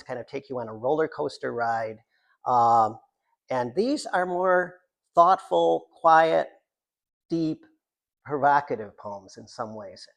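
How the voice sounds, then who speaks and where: An older American man, a poet introducing his work at a reading in an informal, halting, digressive way with wry, self-deprecating humour. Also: on the high side, creaky. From Central New York, United States. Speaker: poet reading from own book. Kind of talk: informal author talk at a poetry reading.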